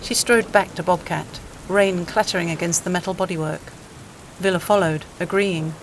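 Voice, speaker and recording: A woman's voice: on the low side, fairly even intonation, normal speed, a little hiss or hum, low-quality recording. A woman reading scripted dramatic narration for an audio play.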